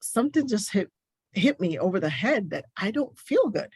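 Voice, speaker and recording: A woman's voice: medium pitch, sing-song, normal speed, slightly rough, no echo. A woman nurse speaks as a podcast guest, telling her story with emphasis and plenty of detail. Her voice sits in a middle range and is recorded dry and close, with no room sound around it. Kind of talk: casual podcast interview.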